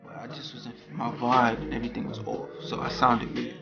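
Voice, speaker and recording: A man's voice: medium pitch, completely flat delivery, normal speed, creaky, muffled recording, over music. A young man talking casually to his vlog audience in slangy street talk, repeating catchphrases. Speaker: vlogger. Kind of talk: casual vlog chat with put-on Jamaican accent.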